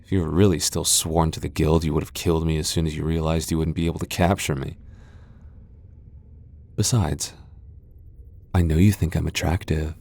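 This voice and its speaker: Casting: male actor as haughty tsundere vampire lord, low-pitched, prickly and easily flustered, for intimate boyfriend roleplay audio. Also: level tone, moderate pace, gravelly, pauses often, a little mellow, exaggerated voice. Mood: reassuring, reluctant. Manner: haughty, defensive, stammering when flustered. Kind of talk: ASMR boyfriend roleplay acting.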